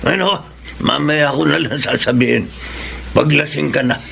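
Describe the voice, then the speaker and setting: Gravelly, stubborn old Filipino father. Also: on the high side, moderate pace, fairly bright tone, slight background noise. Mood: scheming, jovial. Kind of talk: Filipino radio drama acting.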